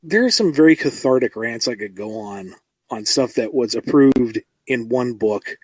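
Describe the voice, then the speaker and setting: A man's voice: medium pitch, expressive, normal speed, a little mellow, husky, soft background music. A man in casual podcast talk as a writer, wryly acting out quoted exchanges. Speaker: comic book writer.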